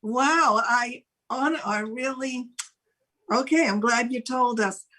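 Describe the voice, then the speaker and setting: A woman's voice: fairly high pitch, slow pace, bright, forceful tone. An older woman speaking casually as the host of a talk show, interviewing a guest.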